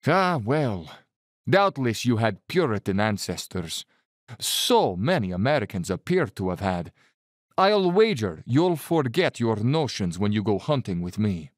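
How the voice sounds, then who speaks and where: An actor playing a suave, cold-blooded Cossack general who loves the hunt, speaking with urbane condescension in an audio drama. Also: male voice, fairly low pitch, very animated, dry recording, studio quality. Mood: amused, goading. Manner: urbane, bland, condescending, theatrically unhurried. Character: suave, cold-blooded aristocratic Cossack general, fanatical big-game hunter.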